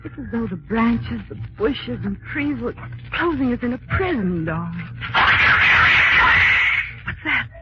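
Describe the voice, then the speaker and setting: The hero's fearful lady companion in a 1940s radio drama. She is nervous and dramatic, with lively swings in pitch. The muffled recording carries very heavy noise. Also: a little slow, pauses often. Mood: apprehensive.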